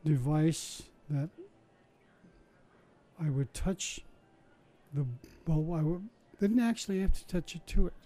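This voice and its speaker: An older man reminisces in a relaxed interview, his voice very dark and mellow. He tells his stories in a chatty, wry way, often restarting mid-sentence and dropping small asides. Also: fairly high pitch, slow pace. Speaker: interviewee recalling his science show work. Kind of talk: casual oral-history storytelling.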